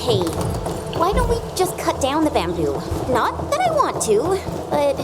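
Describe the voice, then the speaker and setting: Spirited, high-pitched young guide. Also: female voice, measured pace, full character voice. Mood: puzzled, frank. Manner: animated, colloquial, exclamatory. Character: spirited, expressive young woman guide, upbeat and frank. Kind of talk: scripted video game acting. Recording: game music behind the voice.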